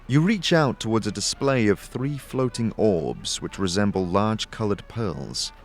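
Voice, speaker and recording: Low-voiced man narrating with lively, dramatic swings. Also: a little slow, soft background music. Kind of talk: second-person dramatic scripted narration.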